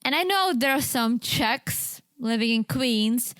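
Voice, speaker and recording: Chatty woman cohost, higher voice, laughs a lot, close dry mic. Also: animated, slow, fairly bright tone, dry recording. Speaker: podcast co-host. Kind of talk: casual chat recapping a reality show.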